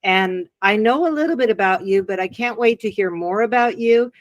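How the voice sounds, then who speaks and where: A woman's voice: mid-range voice, normal speed, no echo, highs cut off. Woman interview host, encouraging manner, dry sound. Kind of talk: interview hosting.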